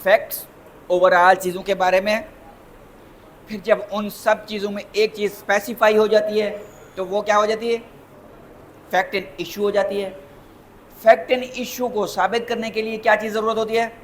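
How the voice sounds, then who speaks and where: Male lecturer, Pakistani accent, high-pitched, didactic and repetitive with rhetorical questions, faint background noise. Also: little pitch movement, normal speed, pauses often. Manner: didactic, repeats points and asks rhetorical questions. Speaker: lawyer giving a lecture. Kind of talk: interactive law lecture mixing in English terms.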